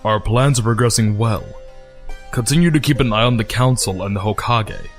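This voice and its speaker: A man playing a stern, scheming middle-aged clan leader and father in an audio drama, formal and deliberate. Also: mid-range voice, soft background music, natural voice. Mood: stern, calculating. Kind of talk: fan audio drama acting.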